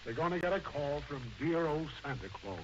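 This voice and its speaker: Cranky, sarcastic boss for vintage radio comedy male actor: high, wildly swooping delivery, full of bluster. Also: animated, fairly quick, close to an everyday voice. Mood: indignant, bossy. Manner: gruff, sarcastic. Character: blustery, cantankerous male boss, middle-aged or older. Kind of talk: 1940s radio comedy acting. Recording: muffled, noisy old-time radio recording.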